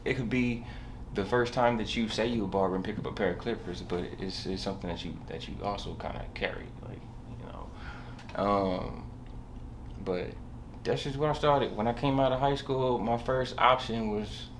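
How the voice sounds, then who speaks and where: A young man talks in a low voice. He rambles casually, hesitating and leaning on slang, like a guest telling his own story. The recording has clear background noise. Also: moderate pace. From Southern California, USA. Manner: slangy, rambling, hesitant, punctuated with bro and you know. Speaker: podcast guest, barber.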